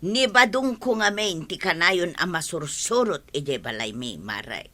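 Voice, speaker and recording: A woman's voice: fairly low pitch, expressive, a little slow, bright, forceful tone, heavily acted. A woman playing an exasperated, hardworking wife in an Ilocano radio comedy drama, scolding and retorting with an Ilocano accent. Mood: gossipy.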